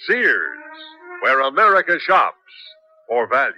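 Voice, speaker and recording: A man's voice: medium pitch, very animated, measured pace, bright, forceful tone. A man announcing on 1970s radio in an emphatic, polished scripted delivery. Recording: dull lo-fi 1970s radio broadcast. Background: music.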